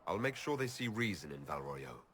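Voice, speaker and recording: A man's voice: sits low, sing-song, a little fast, a bit bright, slight background noise. A man playing a level-headed, diplomatic hero who leads and asks questions in a video game. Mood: composed, questioning.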